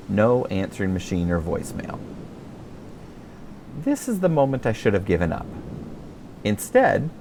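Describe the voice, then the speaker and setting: A man narrates a memoir audiobook in a lowish voice with plenty of gravelly fry. His delivery is wry and expressive, moving up and down a lot, over faint background rumble. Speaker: author reading own memoir.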